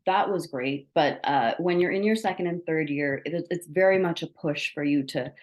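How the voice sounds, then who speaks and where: A young woman from Sri Lanka, a lawyer, answering interview questions in a reflective, measured way with frequent fillers. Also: deep voice. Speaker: guest lawyer. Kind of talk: interview, career talk.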